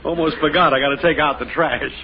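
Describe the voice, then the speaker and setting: A man's voice: a little high, steady tone, fast talker, highs cut off, close to an everyday voice. A man playing a wisecracking, self-pleased next-door neighbor in a radio sitcom, joking and laughing at his own gags. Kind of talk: 1950s radio sitcom acting. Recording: scratchy 1950 radio broadcast, loud hiss.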